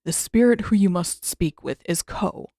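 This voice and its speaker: A stern old man played by a woman reader serves as a spirit mentor in an audiobook tale. The voice is lowered and grave, with formal, measured phrasing. The recording is close and dry. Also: dark tone, no room sound. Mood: grave warning. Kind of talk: fan fiction audiobook character voice.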